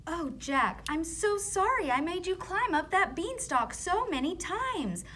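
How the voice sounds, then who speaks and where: A woman voicing an excitable, fretful mother in a children's fairy tale, with exaggerated expression. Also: extremely high pitch, measured pace, slight background noise, voice pushed up, full character voice. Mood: remorseful.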